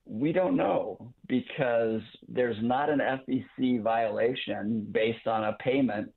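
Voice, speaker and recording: A man giving unscripted legal analysis as an interview guest over a thin phone-like line, in a halting, deliberate way. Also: medium pitch, creaky, breathy edge. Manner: halting, deliberate, repeats words as he goes. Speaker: guest legal commentator, former prosecutor.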